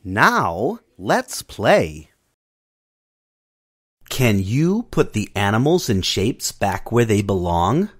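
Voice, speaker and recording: A man narrating a children's storybook app, reading the story and gently prompting a child to tap and find shapes. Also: lowish voice, very animated, husky. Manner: slow, sing-song, coaxing prompts to a child. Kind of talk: children's read-along narration.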